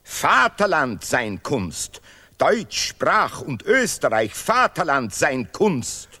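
A man playing a pompous patriotic artist in a radio play, declaiming in repetitive, deliberately broken German. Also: on the high side, sing-song, sharp tone, exaggerated voice. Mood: grave, pompous. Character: pompous Nobel laureate artist, zealous patriot of language and fatherland.

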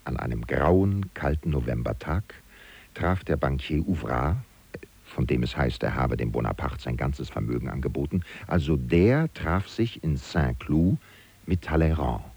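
A man playing a loyal, disillusioned political aide who muses and questions aloud to the listener in a German radio drama, with a gravelly voice. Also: a little slow, a little mellow, full character voice. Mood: puzzled, musing. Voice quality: gravelly, very deep. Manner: musing, chatty, rhetorical questions. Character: loyal, disillusioned male aide to a fallen politician, wryly indignant.

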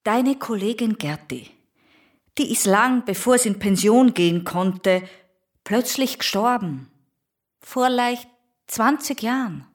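A patient Viennese daughter in a radio play, coaxing and insistent. She speaks slowly in a high voice. She keeps calmly repeating herself. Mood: gentle. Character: patient adult daughter, coaxing her mother.

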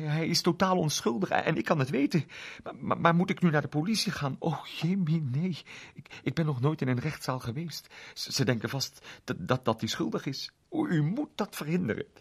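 A nervous old lady, played by a man from a narrated story, her voice pitched high and swinging widely. She stammers and repeats herself in agitated pleading, badly flustered. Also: vocal fry, breathy edge, heavily acted. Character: flustered, nervous elderly woman pleading for help.

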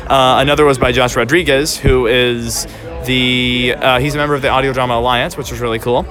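A man's voice: mid-range voice, steady tone, brisk, music in the background. A man hosting a podcast with casual, rambling on-the-spot interviews and chat. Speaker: podcast host.

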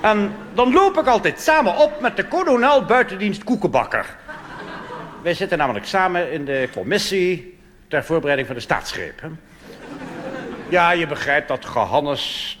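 A Dutch cabaret comedian performing a blustering, pompous reactionary army officer in a rambling stage monologue. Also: male voice, mid-range voice, a bit bright. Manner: pompous, rambling, tag questions. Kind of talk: stand-up cabaret monologue in character. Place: theater stage before a live audience. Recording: live stage recording with hall reverb and hiss.

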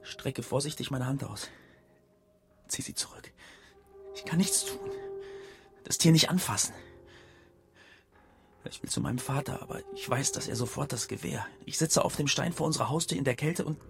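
An actor playing a haunted, dreamy hunter's son in a poetic radio monologue, absorbed and halting. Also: male voice, mid-range voice, creaky, over music, natural voice. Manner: absorbed, halting, broken-off sentences.

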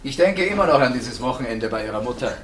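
A male actor playing a middle-aged husband in a radio play, wryly reflective and brooding, speaking with hesitant pauses and deliberate phrasing. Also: mid-range voice, lively intonation, fairly bright tone, a little hiss or hum, close to an everyday voice. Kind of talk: radio play acting, intimate dialogue.

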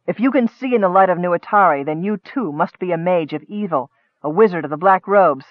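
A man voicing an earnest, hot-tempered fantasy cleric, wary and forceful, on a muffled audiobook recording. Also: high-pitched, expressive, a little fast, no room sound. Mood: wary, challenging.

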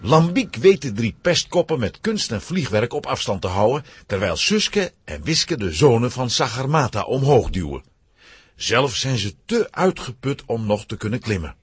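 A man narrates a scripted children's story with dramatic flair. His husky voice moves in lively swoops and sounds dry and close. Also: medium pitch, moderate pace, no room sound.

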